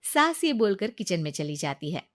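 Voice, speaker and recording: A woman's voice: medium pitch, very animated, normal speed, fairly bright tone. A woman storyteller narrating a scripted cartoon moral story with dramatic mock pity.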